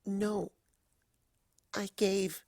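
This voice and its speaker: A man voicing a meek, frightened little filly, a younger sister with a squeaky voice and halting way of protesting, in a story reading. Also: flat delivery, creaky, a little mellow, no room sound, heavily acted. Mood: miserable.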